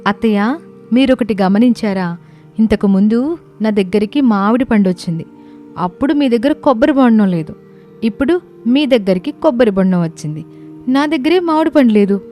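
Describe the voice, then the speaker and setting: Woman playing a mellow-voiced young daughter-in-law. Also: fairly high pitch, animated, normal speed, pauses often, close to an everyday voice. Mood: puzzled. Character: earnest, sentimental young village daughter-in-law. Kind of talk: acted dialogue in animated comedy story. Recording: music under the voice.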